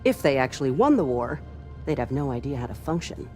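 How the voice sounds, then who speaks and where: A low-pitched starship crew chief speaks as a video game character over faint music. She is a devout patriot, earnest, dutiful and reverent about the cause. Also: very animated, brisk, mellow. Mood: resolute, patriotic.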